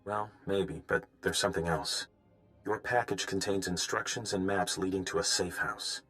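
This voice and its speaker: An earnest male undercover informant, fairly low in pitch. He confides like someone short on time, in video game dialogue with faint music underneath. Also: steady tone, normal speed, fairly bright tone. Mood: scheming, earnest.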